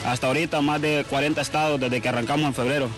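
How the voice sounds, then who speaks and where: A man's voice: mid-range voice, fairly even intonation, very fast, over music. A man from Honduras with a Caribbean coast accent, a food vendor talking in an upbeat, chatty way and slipping in English words.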